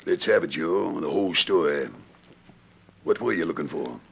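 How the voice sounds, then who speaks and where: An actor playing a gruff, bullying crooked sheriff with an American accent in a 1940s radio Western. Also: male voice, low voice, moderate pace, exaggerated voice. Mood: accusing. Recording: dull 1940s radio recording.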